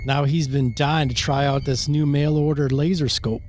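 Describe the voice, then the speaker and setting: A small-town sheriff in a radio play, acted by a man, speaking in a fairly high male voice. He is gruff, sarcastic and openly threatening. Faint music runs behind him. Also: steady tone, moderate pace, a little mellow, natural voice. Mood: menacing, smug. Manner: sardonic, threatening. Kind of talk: amateur radio play reading.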